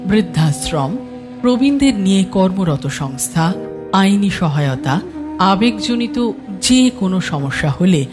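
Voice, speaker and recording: A man reading a scripted public-service announcement in a formal, measured, phrase-by-phrase delivery. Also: fairly high pitch. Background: music.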